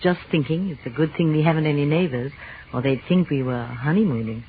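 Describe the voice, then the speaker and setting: A woman's voice: low-pitched, a little fast, close to an everyday voice. Woman acting a theatrical wife in old radio drama. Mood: teasing. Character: affectionate, playful wife of an astronomy professor, easily awed. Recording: muffled, noisy old radio recording.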